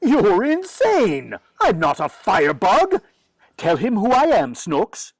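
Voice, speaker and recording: A woman's voice: medium pitch, wildly swooping pitch, slow pace, husky, no room sound, heavily acted. A woman playing an exasperated, easily flustered father in an old-time radio comedy.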